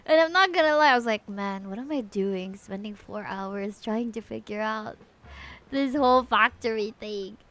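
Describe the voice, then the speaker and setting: A woman's voice: a little high, moderate pace, fairly bright tone. A woman, chatting casually and informally to viewers on a livestream. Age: 30s. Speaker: streamer and VTuber.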